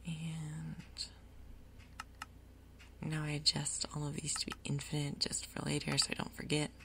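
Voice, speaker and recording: A woman speaks very low and softly, with a whispery edge and plenty of vocal fry. She narrates her gameplay casually in an ASMR style, unhurried and thinking aloud, with little movement in pitch. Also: monotone, a little hiss or hum. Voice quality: soft, whispery, breathy undertone. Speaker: gaming video host. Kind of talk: soft-spoken ASMR Minecraft gameplay commentary.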